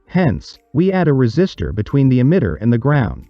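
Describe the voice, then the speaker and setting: A man reading a scripted explanatory narration for a tutorial, in a measured, didactic, step-by-step manner. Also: medium pitch, wildly swooping pitch, no room sound, lo-fi sound, faint music behind.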